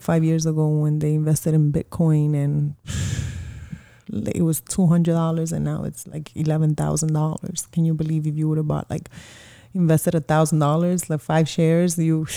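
A woman's voice: low voice, gravelly, warm tone. A woman in her forties, a small business owner, chatting casually and rambling with lots of fillers in an interview. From Colombia.

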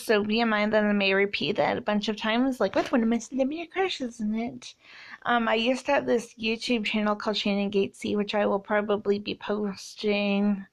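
A woman in her twenties from Canada, hosting a casual solo podcast and rambling with many fillers. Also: mid-range voice. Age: 20s. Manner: rambling, many ums and likes. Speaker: podcast host.

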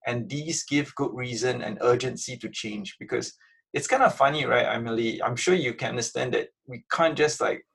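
A man with a raspy, creaky voice answering interview questions in an informal, conversational way, often checking in with the listener. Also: medium pitch, moderate pace, fairly bright tone. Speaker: interview guest, museum innovation director.

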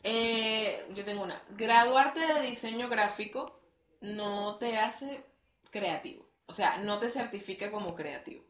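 Young Venezuelan woman, crisp bright tone, easygoing co-host, roomy echo with light noise. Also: mid-range voice. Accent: Venezuelan Spanish. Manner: chatty, quick to agree, laughs along. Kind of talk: casual chat.

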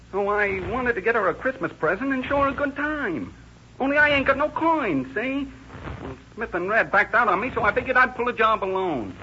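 Young street-tough crook, high pitched, defiant, old radio crime drama with muffled, noisy sound. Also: male voice, bright, forceful tone, exaggerated voice. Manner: slangy, street-tough, evasive. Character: defiant young male small-time crook cornered by police.

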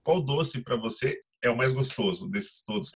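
A man's voice: medium pitch, very animated, normal speed, vocal fry, breathy edge. A man from Brazil with a Brazilian accent, a tour guide talking conversationally in an interview. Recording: dull, muffled lo-fi audio.

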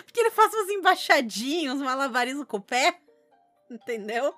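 A woman with a southern Brazilian accent from Rio Grande do Sul, co-hosting a casual podcast and telling anecdotes in animated, slangy speech. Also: high voice, a little fast, soft background music. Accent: southern Brazilian Portuguese from Rio Grande do Sul. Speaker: podcast co-host. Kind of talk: casual chat, storytelling.

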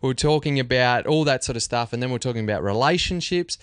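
A man's voice: mid-range voice, animated, fast, fairly bright tone, clear, resonant tone, no echo, studio quality. A man hosting a conversational podcast interview, talking in an enthusiastic, chummy way. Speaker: podcast host.

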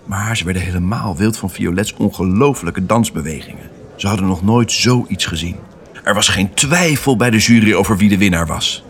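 A man's voice: fairly low pitch, a bit bright. A man narrating a scripted children's story as its storyteller.

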